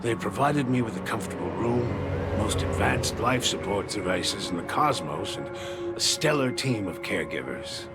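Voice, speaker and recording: An actor playing a courteous, wistful old war survivor in a dramatic video game, speaking in a reflective, measured way. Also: male voice, fairly low pitch, animated. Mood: wistful acceptance. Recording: loud music under the voice.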